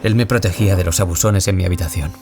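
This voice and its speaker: A man's voice: sits low, fairly even intonation, quick pace, vocal fry, over music. An actor playing a wounded young man from Spain who was bullied, confiding his story as an audio-drama interviewee with a Spain Spanish accent. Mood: anguished, confiding. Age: young adult. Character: wounded young man, former bullying victim, anguished, confiding. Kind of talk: scripted audio-drama monologue in interview form.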